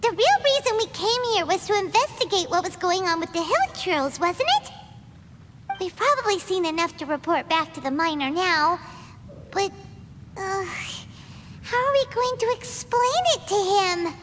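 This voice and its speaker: A woman voicing a tiny, excitable, fretful fairy companion with a squeaky childlike voice in a video game. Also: normal speed, noisy background. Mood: chatty, fretful. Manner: exclaiming, refers to self in third person. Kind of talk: video game cutscene acting. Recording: long reverb, music behind.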